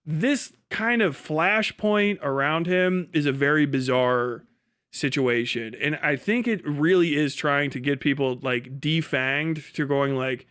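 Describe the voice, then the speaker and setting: A man's voice: a little high, unhurried, a bit bright, clean tone. A man giving casual, opinionated political commentary as a livestream host, blunt and full of swearing, rambling and restarting mid-thought.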